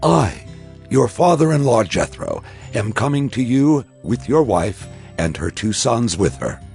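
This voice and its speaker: A man's voice: mid-range voice, big pitch swings, measured pace, creaky, a little mellow, faint music behind. A man playing a reverent elder priest and father-in-law in a dramatized scripture reading, stately and declamatory. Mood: dignified greeting.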